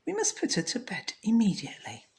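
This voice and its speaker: A woman's voice: mid-range voice, wildly swooping pitch, brisk, a little hiss or hum, voice pushed up. A woman voicing a caring, fretful, easily amazed wife in a children's storybook, exclaiming expressively. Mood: concerned.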